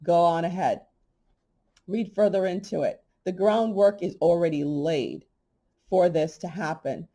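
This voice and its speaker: Blunt, slightly hoarse woman host. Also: fairly low pitch, unhurried, dark tone. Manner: blunt, emphatic, conversational. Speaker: holistic health channel host. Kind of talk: casual monologue to camera.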